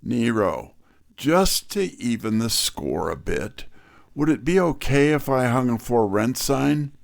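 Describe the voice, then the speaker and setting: An actor playing a wry, wisecracking detective's legman, delivering scripted mystery narration and dialogue in a folksy, unhurried way. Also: medium pitch, big pitch swings, vocal fry, dry recording, full character voice. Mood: sardonic.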